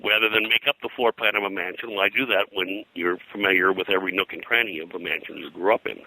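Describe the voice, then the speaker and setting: A man's voice: low voice, steady tone, quick pace, bright, forceful tone, dry recording, rough recording. An older man, a guest historian, talking informally in a detailed, digressive way. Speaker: guest, pop culture historian. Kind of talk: informal interview, recounting details.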